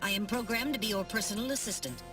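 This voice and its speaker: A woman's voice: medium pitch, fast talker, lightly acted. A woman voicing a small, dutiful robot assistant in a video game, speaking in formal, precise phrases. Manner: formal, precise, informative diction. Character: small polite robot personal-assistant navigator, dutiful and earnest. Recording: distant voice under loud music.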